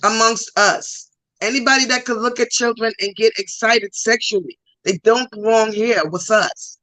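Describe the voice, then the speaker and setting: A woman's voice: fairly high pitch, normal speed, sharp tone, no room sound, rough recording. A woman comedian from Trinidad with a thick Trinidadian accent tinged with New York, chatting bluntly and emphatically on a podcast. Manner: blunt, emphatic, repeats points. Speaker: comedian guest. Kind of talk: casual podcast chat.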